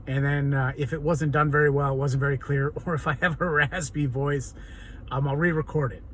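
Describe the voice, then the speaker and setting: A man with a hoarse, raw, raspy voice, talking earnestly and rambling in an unscripted personal vlog monologue. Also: fairly high pitch, steady tone, fairly quick, a bit bright, a little hiss or hum. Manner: rambling and earnest, many ums and restarts. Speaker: vlogger.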